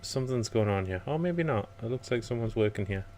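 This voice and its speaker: Croaky man thinking aloud over gameplay. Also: medium pitch, expressive, fairly quick, darkish tone, a little hiss or hum. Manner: rambling with fillers, thinking aloud. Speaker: let's play commentator. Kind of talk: casual gameplay commentary.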